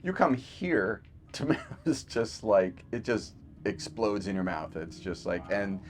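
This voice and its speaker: Chatty low-voiced male doctor. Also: big pitch swings, slow. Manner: chatty storyteller, frequent fillers and laughs. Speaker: physician guest. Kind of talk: casual remote podcast conversation.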